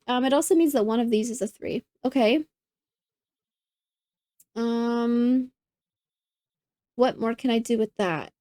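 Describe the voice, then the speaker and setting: A slightly hoarse woman solves a puzzle out loud, talking herself through each step and perking up at every neat trick she finds. Her voice sits a bit high but sounds mellow and soft, and the recording is close and dry with no room sound. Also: no echo. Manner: thinks aloud, easily delighted. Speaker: puzzle channel host. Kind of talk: casual think-aloud puzzle solving.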